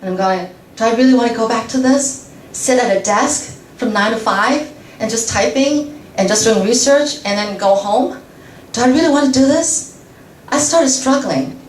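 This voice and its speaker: A woman's voice: a little high, normal speed. A woman speaking as a guest to a class, chatty and casual, laughing often and restarting her sentences. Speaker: guest speaker, radio journalist. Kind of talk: talk answering audience questions. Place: lecture room. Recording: echoey room from far off, faint noise.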